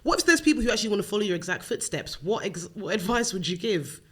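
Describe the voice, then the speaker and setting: A young woman hosts a podcast and talks quickly and easily. She asks questions in a friendly, encouraging way. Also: medium pitch, animated, a bit bright, slightly rough. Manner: chatty and encouraging. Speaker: podcast host. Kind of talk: casual interview chat.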